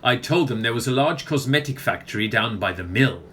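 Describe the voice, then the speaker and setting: A man's voice: medium pitch, animated, brisk, fairly bright tone, a little hiss or hum, close to an everyday voice. A man playing a pompous, self-important author with a wordy, theatrical manner in a comic radio play. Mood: smug, anecdotal.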